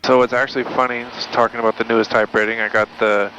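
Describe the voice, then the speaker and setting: A man's voice: mid-range voice, flat delivery, quick pace, noisy background. A man with an American accent, a pilot chatting casually and making radio calls from the cockpit. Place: small aircraft cockpit in flight. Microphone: aviation headset. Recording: dull intercom audio, loud aircraft drone.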